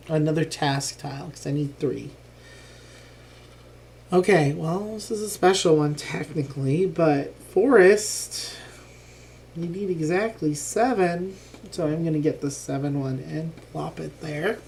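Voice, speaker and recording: A streamer casually talking through a live board game playthrough, thinking aloud with frequent ums and a lingering cough after being ill. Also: mid-range voice.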